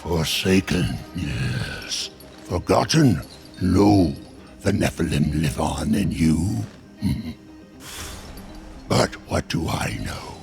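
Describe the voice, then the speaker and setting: An actor playing a sly, cryptic demon merchant in a video game, theatrical, mock-humble and darkly amused. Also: male voice, low-pitched, expressive, very slow, creaky, darkish tone, faint music behind, heavily acted. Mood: wistful, ominous.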